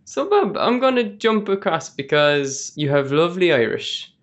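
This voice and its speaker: A man with a slightly higher voice hosts a relaxed interview. He chats easily and nudges his guest along with short, encouraging remarks. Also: moderate pace. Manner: conversational, brief encouraging interjections. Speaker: interview host. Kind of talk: casual interview.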